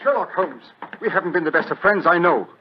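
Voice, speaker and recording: An actor playing an anxious, pleading wealthy English gentleman with a British accent, formal and urgent, in 1940s radio drama style. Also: on the high side, expressive, a little fast, slight background noise, close to an everyday voice. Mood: distressed. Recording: muffled old radio broadcast.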